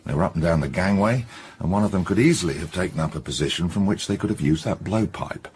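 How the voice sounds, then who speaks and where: Deep-voiced, blunt English police inspector. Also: male voice, expressive, darkish tone, a little hiss or hum. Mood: sceptical. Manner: blunt, colloquial, brisk summing-up. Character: gruff, no-nonsense English police chief inspector, middle-aged man. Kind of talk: radio detective drama acting.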